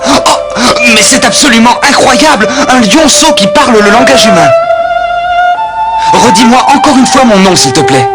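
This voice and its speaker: A man voicing a gentle, kindly young adventurer full of wonder in a French-dubbed cartoon. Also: extremely high pitch, lively intonation, normal speed. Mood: amazed. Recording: loud music behind the voice.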